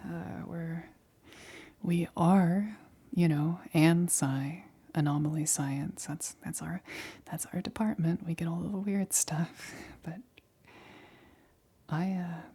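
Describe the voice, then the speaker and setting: A woman playing a nervous scientist in a whispered ASMR roleplay, speaking softly and breathily with hesitant, halting stammers. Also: low voice, slightly rough, heavily acted. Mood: uneasy, confiding. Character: nervous female scientist examining an alien specimen.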